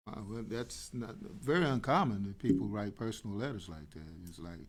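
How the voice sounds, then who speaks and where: A man's voice: on the low side, lively intonation, a little fast. A man hosting a radio interview, asking playful, teasing questions. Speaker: radio show host.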